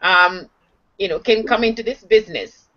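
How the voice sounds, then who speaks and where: A woman's voice: medium pitch, moderate pace. A woman speaking enthusiastically on a live video call, encouraging others with many fillers. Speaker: online marketing member. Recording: dull lo-fi video call audio.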